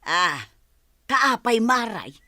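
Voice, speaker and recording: A woman's voice: a little high, very animated, very slow, sharp tone, no room sound, studio quality, heavily acted. A woman playing a poor mother in debt. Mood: gossiping. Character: poor middle-aged mother in debt, humble.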